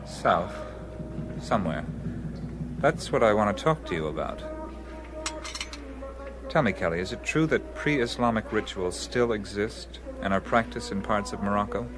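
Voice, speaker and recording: An actor playing a curious, musing adventurer in a radio drama, pondering aloud with some hesitation. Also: male voice, fairly low pitch, measured pace, pauses often, over music, lightly acted.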